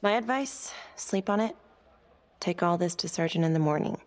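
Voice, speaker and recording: An actress playing a level-headed, loyal colleague of a detective in a naturalistic video game drama. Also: female voice, fairly low pitch, expressive, moderate pace, close to an everyday voice. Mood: reassuring.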